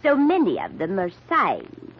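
An actress playing a cool, scheming middle-aged matriarch in an old-time radio mystery, haughty and controlled in manner. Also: female voice, medium pitch, huge pitch swings, a little slow, slightly rough, dull audio, exaggerated voice. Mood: composed. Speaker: radio drama actor.

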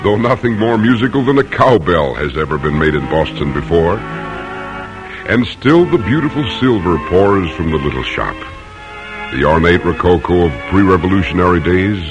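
An actor as a solemn, measured storyteller of American history in dramatic old-time radio narration. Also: male voice, sits low, lively intonation. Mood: stately, dramatic. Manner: measured, solemn, poetic phrasing. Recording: vintage radio recording. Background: music.

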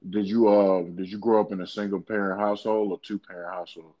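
A podcast host with a very low voice asks his guest loose, meandering questions. He halts often and fills the gaps with "uh". The recording sounds dull and lo-fi. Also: little pitch movement, warm tone. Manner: halting, many "uh" fillers and restarts, calls the guest bro. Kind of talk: casual interview questions.

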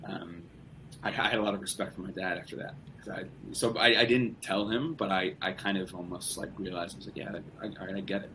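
Very deep-voiced young man, rambling. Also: steady tone, fast talker, bright, forceful tone, slight background noise, rough recording. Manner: rambling, with many restarts and stammered repeats. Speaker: podcast guest. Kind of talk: casual conversation.

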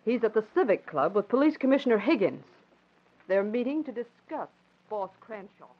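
A woman's voice: fairly high pitch, very animated, normal speed. An actress playing an earnest, probing professional woman in a 1940s radio drama. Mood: persuasive. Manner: earnest, questioning, emphatic. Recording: dull old radio recording.

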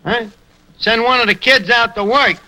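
An actor playing a wisecracking tavern manager with big pretensions, slangy and ungrammatical, with a New York accent, in 1940s radio comedy style. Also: male voice, very high-pitched, normal speed, bright, forceful tone, full character voice, low-quality recording. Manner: slangy ungrammatical wise guy. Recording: old radio recording, faint noise.

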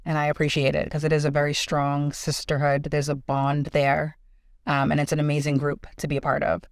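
A woman's voice: low-pitched, monotone, brisk, no room sound, clean studio sound. A woman hosting a podcast, reading a scripted intro and then chatting with a guest, affirming her often. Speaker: podcast host.

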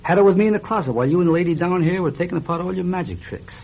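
A man acting a shrewd, laconic police officer in a radio drama, husky and dryly wry. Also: high-pitched, racing, slight background noise. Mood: wry triumph. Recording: dull, lo-fi radio recording.

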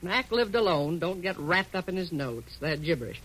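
A woman's voice: on the low side, lively intonation, moderate pace, a bit bright, a little hiss or hum, rough recording. An actress playing an imperious older American businesswoman, curt and commanding, in 1940s radio drama style. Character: bossy, brusque older female publishing tycoon. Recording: old radio drama recording.

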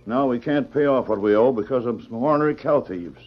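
An actor playing a proud, sentimental old rancher, easily riled, with folksy, halting frontier talk in 1940s radio style. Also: male voice, mid-range voice, close to an everyday voice. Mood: rueful. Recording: muffled old radio recording.

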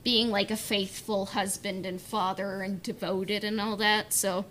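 A woman's voice: mid-range voice, little pitch movement, a little slow, fairly bright tone, a little hiss or hum, low-quality recording. A woman chatting casually on a podcast panel in a hesitant, filler-heavy way, saying "like" often. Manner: hesitant, peppers talk with "like". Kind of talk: casual chat.

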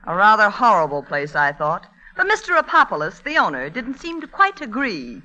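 A wry, worldly big sister telling her story in an old radio comedy. She is dry and sardonic, with a very sharp, bright voice and lively swings in pitch. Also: moderate pace, poor audio. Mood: sardonic narrating. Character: wry, worldly older sister, an aspiring writer. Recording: vintage radio broadcast recording.

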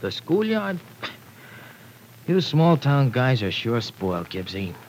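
An actor playing a streetwise young city gang member in a 1940s radio drama, talking tough, sarcastic and bitter in slangy speech. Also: male voice, mid-range voice, sing-song, slow, darkish tone, a little hiss or hum, poor audio.

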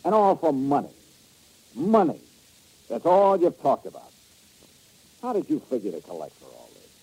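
A man's voice: on the high side, huge pitch swings, moderate pace, dark tone, husky, full character voice. An actor playing a hard-boiled male insurance investigator, clipped and sardonic, in an old radio drama. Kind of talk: 1950s radio drama acting. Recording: muffled old radio broadcast.

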